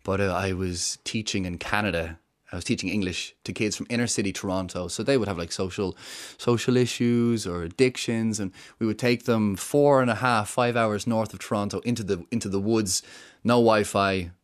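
An Irish man talks at length as a podcast guest, earnest and explanatory. His voice sits on the low side, and he often pauses on fillers and restarts mid-thought. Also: steady tone. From Ireland. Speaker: guest, woodland charity founder. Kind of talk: interview conversation.

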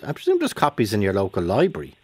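An Irish man hosting a radio phone-in, chatty and quick with questions for callers, with jokey asides. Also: fairly low pitch, huge pitch swings, husky, dry recording. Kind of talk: call-in radio chat.

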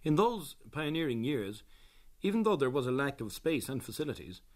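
A man presenting a scripted radio documentary in a measured, explanatory way. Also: medium pitch, sing-song, darkish tone.

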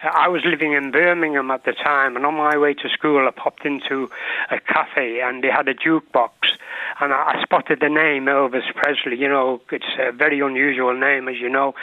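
A man's voice: medium pitch, no room sound. A man in his seventies from Ireland with a Mancunian accent, a caller chatting fondly and rambling with many fillers. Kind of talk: casual chat. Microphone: telephone. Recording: dry, tinny phone line.

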